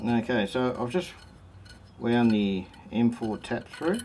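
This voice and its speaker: Low-key Australian bloke, noisy outdoor recording. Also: male voice, expressive, takes it slowly, darkish tone. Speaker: host of a how-to four-wheel-driving channel. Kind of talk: casual DIY tutorial explanation. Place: outdoors in a driveway and around vehicles. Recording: noticeable background ambient noise.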